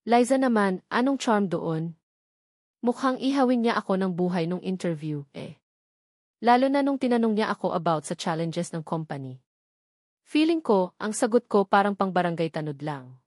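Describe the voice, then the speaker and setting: A woman's voice: medium pitch, expressive, normal speed, a little mellow, dry recording, clean studio sound, lightly acted. Timid young island woman played by a narrator. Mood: self-doubting. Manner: timid, self-deprecating. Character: anxious self-doubting young island woman job seeker.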